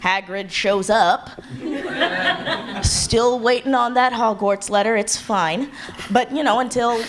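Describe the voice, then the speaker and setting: A woman's voice: a little high, slow, crowd noise. A woman speaking earnestly and thoughtfully as a writer on a live panel. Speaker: panelist, audio drama writer. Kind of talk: earnest conversational panel talk. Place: convention panel room with background noise. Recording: noisy live convention panel room.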